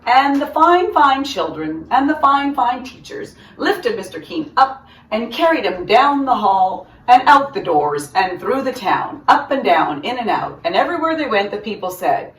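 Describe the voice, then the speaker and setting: Female storytime reader, very lively intonation, emphatic, mid pitch, roomy and slightly distant mic. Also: normal speed, hardly any pauses. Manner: animated, emphatic. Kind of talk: expressive read-aloud of a children's story. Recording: roomy echo, distant microphone.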